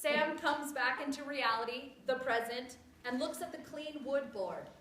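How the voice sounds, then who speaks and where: Woman, story narrator, bright voice, theatrical scripted reading, roomy echo. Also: sits high, a little slow. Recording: echoey room with clear background noise.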